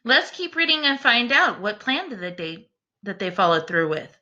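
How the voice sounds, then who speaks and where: A woman teacher doing an expressive children's read-aloud, speaking in an encouraging way aimed at young listeners. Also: mid-range voice, moderate pace, dull audio. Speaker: teacher reading a picture book aloud.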